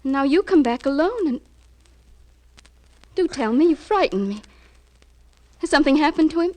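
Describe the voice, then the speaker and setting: High-voiced, earnest radio drama wife. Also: female voice, expressive, normal speed, warm tone. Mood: puzzled, worried. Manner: earnest, emotional, insistent. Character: trusting, anxious, fiercely loyal small-town wife. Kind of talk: 1950s radio crime drama acting.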